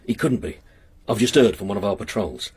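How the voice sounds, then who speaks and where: An actor playing a stern, pious police inspector who speaks firmly in a radio drama. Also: male voice, on the low side, expressive, brisk, darkish tone, breathy edge.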